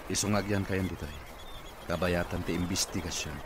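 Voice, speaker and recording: An actor playing a kind, protective, wealthy master of a mansion in a radio drama, with an Ilocano accent. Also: male voice, sits low, fairly even intonation, a little fast, close to an everyday voice. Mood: firm. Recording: noisy radio drama with ambience.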